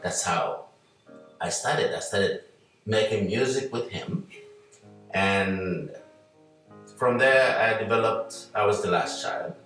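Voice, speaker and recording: An older man from Nigeria speaks in a very deep, unhurried voice, pausing often as he recalls his past. He sounds set back from the mic in a strongly echoing room. Soft guitar music plays underneath. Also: far from the mic. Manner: slow, hesitant with many fillers. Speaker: interview guest, musician. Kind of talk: relaxed reflective storytelling.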